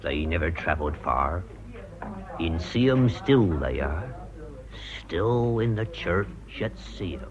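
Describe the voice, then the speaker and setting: Folksy village gossip, lively intonation. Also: male voice, deep voice, slow, a crowd in the background. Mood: sly, guarded. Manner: folksy, clipped, dialect phrasing. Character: gossipy, loyal, cagey village man, a war veteran. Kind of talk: British radio drama acting. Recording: dull, muffled radio recording.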